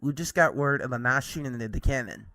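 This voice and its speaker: A man's voice: mid-range voice, a little fast, very noisy, close to an everyday voice. A man playing a morning TV news anchor in an amateur drama, with a formal announcer-like delivery. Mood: serious. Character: male morning TV news anchor breaking grave news.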